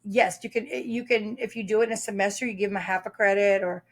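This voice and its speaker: Female guest expert, mid-pitch, chatty storyteller with fillers. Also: steady tone, fast. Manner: talkative, explains with stories, frequent fillers. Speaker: test prep author and guest. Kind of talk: podcast interview.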